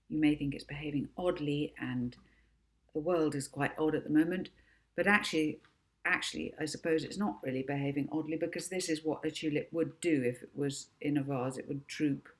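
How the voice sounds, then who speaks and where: A woman author reading her own children's book aloud as expressive narration. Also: sits low.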